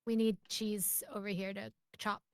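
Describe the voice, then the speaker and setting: Smooth-voiced woman calling out orders. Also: medium pitch, flat delivery, normal speed, dry recording. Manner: calls out orders and directs teammates. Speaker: streamer playing a co-op cooking game. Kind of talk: casual gaming chat.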